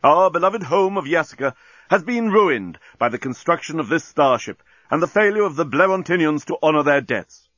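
A man's voice: on the high side, animated, normal speed, a bit bright, no room sound, muffled recording, voice pushed up. A man voicing a grandiose, earnest alien starship captain, declaiming and commanding in a storybook reading. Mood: grave, rousing.

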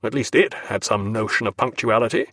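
A man doing a booming, scolding sea captain. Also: brisk, fairly bright tone, no echo, clean studio sound. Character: blustering naval captain, tall and commanding. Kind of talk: audiobook character voice.

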